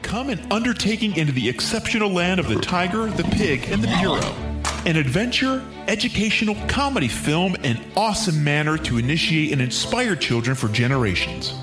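A man playing an earnest voice-over announcer, delivering promo copy in a grandiose, deliberate movie-trailer style for audio comedy. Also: high-pitched, very animated, music in the background. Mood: grand, enthusiastic. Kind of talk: dramatic movie-trailer narration.

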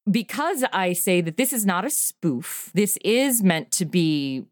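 A woman's voice: fairly low pitch, very animated, unhurried, no room sound, studio quality. A woman in her forties, a comedy podcast creator, talking enthusiastically in an interview and laughing easily. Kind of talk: interview conversation.

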